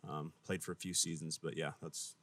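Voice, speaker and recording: A man in his thirties, a church staff member, giving relaxed, easygoing interview answers. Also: rumbling low, moderate pace. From Las Vegas, Nevada.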